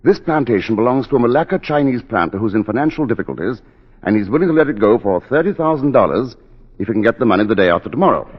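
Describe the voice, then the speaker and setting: An actor playing an impulsive, insistent husband in a 1940s radio drama, emphatic and impatient. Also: male voice, medium pitch, lively intonation, fast, husky. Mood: eager, hopeful. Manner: emphatic, impatient, endearments to his wife. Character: impulsive, insistent husband brimming with enthusiasm, later aghast. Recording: dull 1940s radio broadcast, long reverb.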